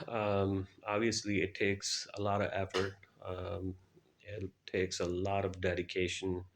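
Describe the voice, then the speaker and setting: A middle-aged man answering interview questions and recounting his experiences in a halting way with frequent pauses. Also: sits low. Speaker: guest, air traffic controller.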